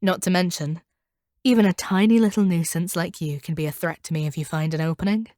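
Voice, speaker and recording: A woman playing a proud, ruthless monster hunter in a scripted audio roleplay, speaking in a haughty, stern and deliberate way. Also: fairly low pitch, darkish tone, no echo, studio quality, lightly acted. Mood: grave, disdainful.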